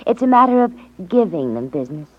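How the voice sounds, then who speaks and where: A woman's voice: mid-range voice, sing-song, fast, natural voice. An actress playing an eager, wide-eyed accomplice to a con man in a 1950s radio drama, earnest and seemingly naive. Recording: dull vintage 1950s radio recording.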